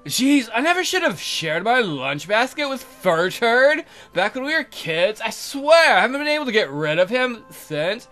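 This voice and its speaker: A man's voice: very high voice, sing-song, moderate pace, few pauses, bright, forceful tone, faint music behind, pitched above the performer's own voice, exaggerated voice. A man voicing an exasperated, crude-mouthed young maiden from a fairy tale game in an exaggerated, complaining way.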